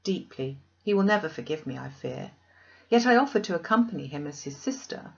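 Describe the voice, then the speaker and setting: A woman reader playing an earnest, resolute young woman in a classic novel, measured and formal. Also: low voice, lively intonation, vocal fry, lo-fi sound. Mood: subdued, resolute.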